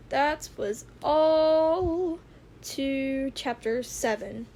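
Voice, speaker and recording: A woman narrating fan fiction as an amateur reader, informal in manner, on a noisy home recording. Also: sits high.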